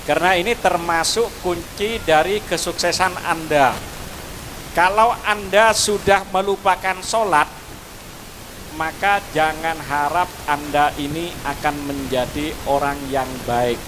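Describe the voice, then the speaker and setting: A man's voice: on the high side, a little slow, a bit bright, slight background noise, rough recording. A man from Madura with a Madurese accent, giving a preachy school graduation speech outdoors to parents and students. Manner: preachy, addresses listeners with Javanese honorifics. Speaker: school speaker.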